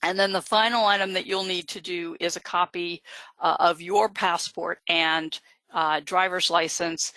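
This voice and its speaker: A woman's voice: on the low side, sharp tone, no echo, low-quality recording. A woman genealogist giving a live webinar lecture, explaining step by step with frequent fillers. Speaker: genealogist presenter.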